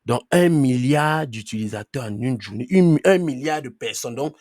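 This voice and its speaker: A man's voice: medium pitch, animated, normal speed, a little mellow, no room sound. Man teaching a live online class, dry sound. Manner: conversational, checks in with "ok?"; firm with late students about muting. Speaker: trainer.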